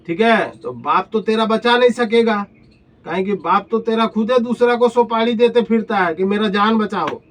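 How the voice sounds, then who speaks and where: A man's voice: sits high, fairly bright tone. A man with an eastern Indian Hindi accent delivering a taunting, confrontational rant full of insults and pointed rhetorical questions, recorded at a distance from the mic. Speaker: vlogger.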